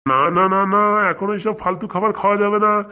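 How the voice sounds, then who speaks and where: A woman voicing a bashful, excited middle-aged boss and father-to-be in a comic cartoon, doting and chatty. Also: medium pitch, normal speed, narrow, tinny audio, close to an everyday voice. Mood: doting, eager.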